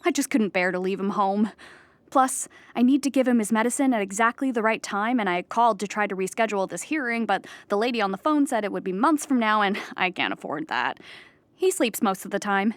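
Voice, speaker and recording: An actress playing an anxious, childlike clown who rambles earnestly in a theatrical audio comedy monologue. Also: female voice, medium pitch, animated, brisk, no echo, close to an everyday voice. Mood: earnest, nervous. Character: anxious, childlike clown pleading at an appeal hearing.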